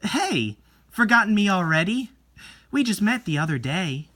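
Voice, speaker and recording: A man's voice: sits high, big pitch swings, normal speed, sharp tone, pitched above the performer's own voice, exaggerated voice. A man voicing a sly, haughty young woman with a snobby, mocking manner in a horror comic reading. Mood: smug, taunting. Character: sly, haughty, manipulative young female rival.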